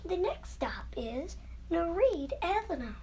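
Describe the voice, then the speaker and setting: Female transit announcer, very high voice, measured scripted stop calls, noise behind. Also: sing-song, warm tone. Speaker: subway train announcer.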